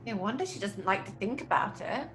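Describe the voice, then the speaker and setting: A woman's voice: mid-range voice, animated, a little fast, fairly bright tone, soft background music, close to an everyday voice. A woman playing a soothing, fussy theatre actress in an audio horror drama, theatrical and placating. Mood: uneasy, sympathetic.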